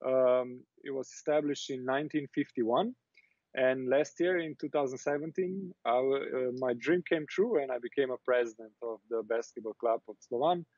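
A man in his thirties from Slovenia, speaking English as a second language, answers at a measured pace and pauses on fillers often. His voice comes through a dry, lo-fi video call with no room sound. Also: mid-range voice, darkish tone, no room sound. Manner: hesitant, many uh fillers. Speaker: basketball club president, interview guest. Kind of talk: remote interview answers. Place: small office indoors. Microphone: earbuds on video call.

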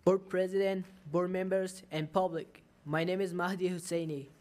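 A man's voice: high voice, fairly even intonation, normal speed, darkish tone. A teenage boy who grew up in Turkey and speaks Dari natively, reading a prepared public comment at a podium microphone in a large council chamber. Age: teens.

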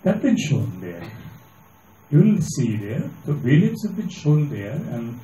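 A mature man with an Assamese Indian accent answering questions as a panelist in an auditorium, deliberate and halting, repeating points for emphasis. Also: fairly high pitch, sing-song, warm tone. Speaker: panelist, filmmaker. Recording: echoey, distant, faint background noise.

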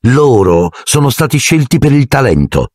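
A man voicing a clever, sharp-tongued schoolgirl in a tart, earnest tone. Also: on the low side, lively intonation, fast, husky, no echo, studio quality.

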